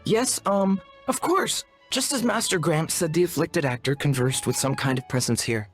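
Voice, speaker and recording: Man playing an earnest young shaman apprentice, higher-pitched. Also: lively intonation, normal speed, lightly acted. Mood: earnest, proud. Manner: formal, polite, earnest. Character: earnest, courteous young male shaman apprentice, devoted and wordy. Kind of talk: video game voice acting. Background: music.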